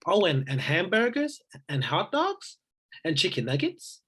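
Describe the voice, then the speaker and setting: A woman's voice: on the low side, wildly swooping pitch, measured pace, a bit bright, husky, heavily acted. A woman playing a hungry, pleading little boy in a script read-through, whining and begging. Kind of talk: rehearsed table read of a screenplay.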